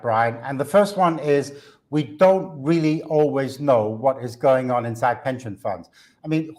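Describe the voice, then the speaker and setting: A man's voice: mid-range voice, darkish tone. A man, a guest commentator on markets, speaking earnestly in a live business interview with frequent fillers and restarts. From Hong Kong.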